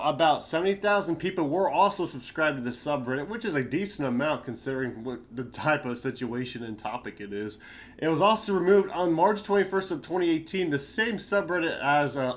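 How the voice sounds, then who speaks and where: A man's voice: on the high side, expressive, moderate pace. A young man with a stuffy, nasal voice from a cold, sounding tired and chatting casually in a rambling, slangy way with lots of fillers. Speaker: YouTuber. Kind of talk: casual commentary on reddit stories.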